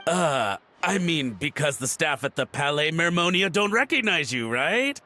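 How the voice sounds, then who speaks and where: An actor voicing a nervous, groveling middle-aged antique collector in a video game, speaking in a theatrical, fawning manner. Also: male voice, on the high side, big pitch swings, moderate pace, bright, forceful tone. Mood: smooth. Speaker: game character.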